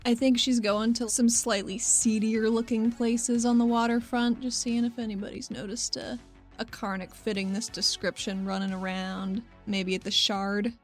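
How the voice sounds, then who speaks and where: A woman's voice: fairly high pitch, little pitch movement, normal speed, faint music behind. A woman chatting casually as a tabletop game player, describing what her character does in a playful, informal way. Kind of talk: casual in-character planning and chat.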